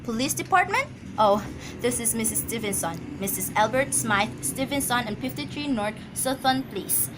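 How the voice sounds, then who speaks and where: A woman's voice: on the high side, expressive, normal speed, background noise, lightly acted. A woman acting an anxious, imperious, easily flustered wife in an amateur radio drama, agitated and stammering.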